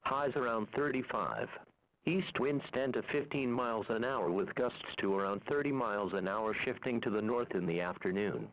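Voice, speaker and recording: A male weather radio voice reading forecasts and climate figures in an even, measured way. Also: lowish voice. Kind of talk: automated weather broadcast. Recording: lo-fi radio recording.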